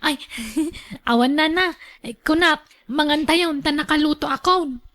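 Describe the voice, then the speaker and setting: A woman performs a younger woman with a distinctly high voice, looking after an elderly mother. She is long-suffering and earnest, reasoning her way through a radio drama. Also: measured pace, fairly bright tone. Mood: concerned reasoning.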